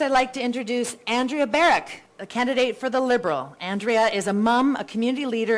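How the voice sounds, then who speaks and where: A Canadian woman moderating a live candidates' debate, chatty, with playful self-deprecating asides and a big voice. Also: fairly high pitch. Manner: says she has a really big voice.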